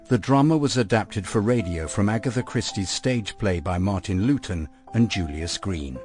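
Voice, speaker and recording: A man's voice: sits low, darkish tone. A man reading scripted narration and credits for a radio drama. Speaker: narrator of prologue and epilogue. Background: music.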